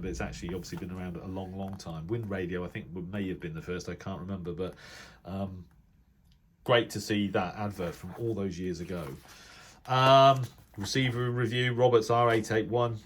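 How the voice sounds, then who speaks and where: A middle-aged British man with a fairly low voice gives an unscripted hobbyist commentary. He speaks casually, often pausing and restarting as he thinks aloud. The delivery rambles gently from point to point. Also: slight background noise. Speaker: radio hobbyist video presenter. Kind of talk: casual unscripted commentary while browsing a reference book.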